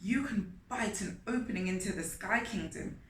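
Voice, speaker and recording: A woman's voice: mid-range voice, moderate pace, far from the mic, close to an everyday voice. A woman voicing a tiny, brave male spider from a children's storybook, earnest and determined, in an echoing room. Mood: rallying. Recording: roomy echo, faint background noise.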